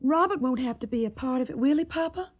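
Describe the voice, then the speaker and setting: An actress playing an earnest, devoted young Tennessee daughter in a radio drama, with a soft, breathy, tender way of pleading. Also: female voice, sits high, expressive, fast, dull audio. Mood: anxious.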